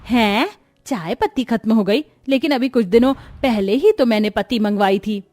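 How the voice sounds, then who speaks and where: A woman's voice: a little high, moderate pace, a little mellow, dry recording, natural voice. A woman voicing a worried, stern older mother-in-law in a cartoon, scolding and pleading. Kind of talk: cartoon voice acting.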